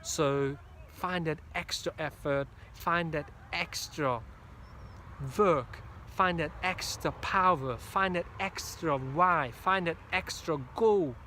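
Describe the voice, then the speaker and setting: Emphatic Hungarian-accented man, slightly high voice. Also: takes it slowly, sharp tone, slight background noise. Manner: emphatic, repetitive, exhorting, preacher-like drive. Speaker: motivational speaker. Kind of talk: motivational pep talk to camera.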